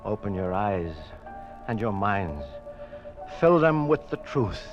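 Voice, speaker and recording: A man's voice: lowish voice, big pitch swings, takes it slowly, over music. Earnest condemned man, muffled old radio. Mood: solemn, remorseful. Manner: earnest, dramatic. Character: defiant, remorseful condemned man on trial for treason. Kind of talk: old-time radio drama acting.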